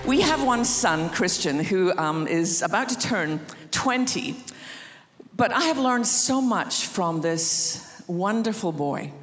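A woman from Scotland with a Scottish accent, preaching a sermon and telling a family story with gentle humor. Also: mid-range voice, animated, slow, faint music behind. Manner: warm anecdotal humor, acts out quotes. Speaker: guest preacher. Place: large church auditorium. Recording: reverberant church hall.

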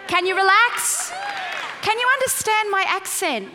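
A woman's voice: very high-pitched, lively intonation, measured pace, in a large hall, background noise. An Australian woman in her forties, a guest pastor giving a chatty, playful sermon and prayer and asking the crowd questions. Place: large church auditorium.

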